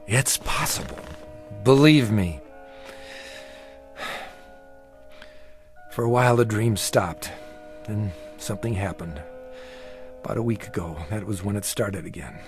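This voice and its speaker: A man's voice: lowish voice, moderate pace, faint music behind, natural voice. A man playing a troubled, earnest middle-aged dreamer in a radio drama, confiding in an intense, hesitant, brooding way. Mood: earnest, insistent. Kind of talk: radio drama acting, confessional narration.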